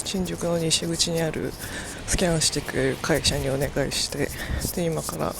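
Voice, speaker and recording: Low, gravelly-voiced Japanese woman vlogging. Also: steady tone, fast, background noise. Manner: hesitant, self-deprecating, full of "like" and "I don't know". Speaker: vlogger and language teacher. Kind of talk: casual vlog chat.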